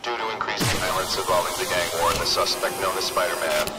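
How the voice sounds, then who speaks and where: A man's voice: sits low, steady tone, quick pace, natural voice. A man acting a stern task force commander, giving formal orders in a video game. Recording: distant voice under very loud music.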